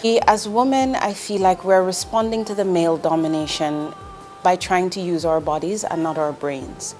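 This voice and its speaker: A woman's voice: fairly low pitch, moderate pace, darkish tone, faint music behind. A woman in her forties, from Jamaica, speaking as an investment executive in an interview, earnest and direct, with ums and restarts. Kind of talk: candid interview answers.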